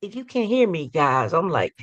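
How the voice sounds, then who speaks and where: A hoarse woman hosting a live sports fan talk show, upbeat and rambling, repeating her hype lines. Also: on the low side, big pitch swings, moderate pace, no echo, dull audio. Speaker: host.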